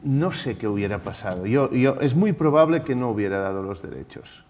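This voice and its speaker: A man's voice: medium pitch, expressive, fairly quick, darkish tone. A man with a Catalan accent, a theatre director talking on a discussion panel, stumbling and restarting often. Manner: hesitant, many restarts and repeated words. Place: auditorium at a round table. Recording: reverberant hall.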